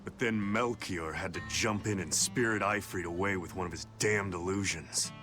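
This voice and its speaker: An actor playing a brash, hot-blooded roguish fighter in a video game, cocky and slangy, with music underneath. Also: male voice, low voice, normal speed, lightly acted. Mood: reflective, gritty. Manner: cocky, rough slangy talk. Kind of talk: English video game dub acting.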